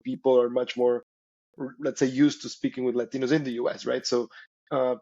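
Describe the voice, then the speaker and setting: A man's voice: medium pitch, creaky, darkish tone, dull audio. A man from Mexico, a native Spanish speaker, explaining conversationally as an interview guest, often checking in with "right?". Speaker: interview guest, company founder.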